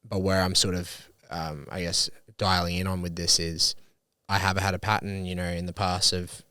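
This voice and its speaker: A man's voice: sits low, flat delivery, normal speed, pushed and bright, smooth, dry recording, clean studio sound. A young man co-hosting a podcast, talking casually in a blunt, matter-of-fact way. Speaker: podcast co-host. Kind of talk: casual conversation.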